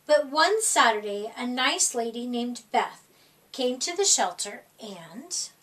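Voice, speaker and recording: A woman reading a children's picture book aloud in an expressive, dramatic storytime style, drawing out words for young listeners. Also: fairly high pitch, slow, recorded from a distance.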